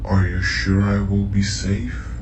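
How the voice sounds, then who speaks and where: A man's voice: low-pitched, monotone, takes it slowly. A man playing a trusting, anxious companion in a fan audio drama, hesitant and earnest, full of questions. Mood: uneasy. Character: trusting, anxious male companion, betrayed and pleading. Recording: echoey, distant from mic, music behind.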